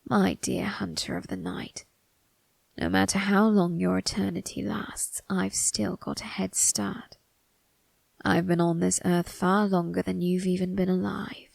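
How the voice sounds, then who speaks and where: Woman as a creaky, husky ancient undertaker. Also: fairly low pitch, steady tone, darkish tone, dry recording, heavily acted. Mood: quietly knowing. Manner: slow, formal, teasing delivery with drawn-out tag questions. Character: eerie, teasing, ancient male undertaker, sly and amused. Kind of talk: ASMR character roleplay.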